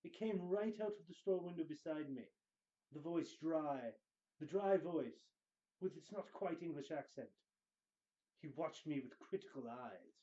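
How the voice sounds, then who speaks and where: Slow, theatrical man reading fiction aloud. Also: on the high side, lively intonation, warm tone, dull audio. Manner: theatrical, deliberate, weighty phrasing. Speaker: show guest host reading book excerpts.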